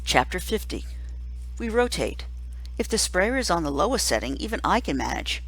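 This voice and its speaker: A woman reading a first-person children's novel aloud as scripted narration, in a measured storytelling manner. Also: lowish voice, big pitch swings, slightly rough, poor audio. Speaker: reader, teacher.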